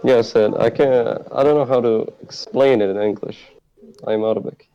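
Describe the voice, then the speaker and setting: A man answering as a guest on a roleplay talk show, speaking haltingly and searching for words. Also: medium pitch, steady tone. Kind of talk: in-character roleplay interview. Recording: muffled lo-fi mic.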